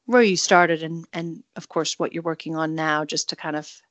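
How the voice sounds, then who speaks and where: A woman's voice: low voice, steady tone, moderate pace, no room sound, highs cut off. A woman hosting a radio interview, introducing her guest in an effusive, gushing way. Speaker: radio host.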